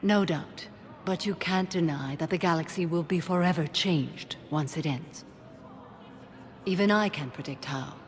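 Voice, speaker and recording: Casting: actress as serene, stern alien warrior woman for a sci-fi game, very low voice, composed delivery, long reverb. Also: unhurried, natural voice. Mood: solemn, wistful. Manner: composed, grave, formal. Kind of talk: cinematic video game acting.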